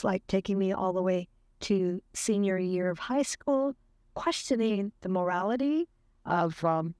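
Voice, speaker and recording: Woman, seventies, very slow deliberate interview talk with fillers, dry close sound. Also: medium pitch, a little mellow, no echo. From California. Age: 70s. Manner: slow, earnest, with fillers and light laughs. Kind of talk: reflective interview.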